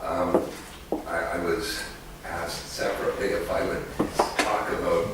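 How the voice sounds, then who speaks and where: A man's voice: low-pitched, little pitch movement, unhurried, very noisy. A man giving an academic conference talk as an economist, hesitant, with many fillers and restarts, recorded in an echoey hall from a distant mic.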